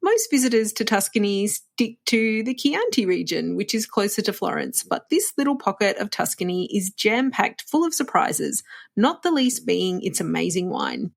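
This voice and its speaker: A woman's voice: mid-range voice, big pitch swings, moderate pace, vocal fry. A woman hosting a travel podcast, upbeat and conversational, chatting with her guests. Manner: upbeat, conversational, gives tips. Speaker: podcast host.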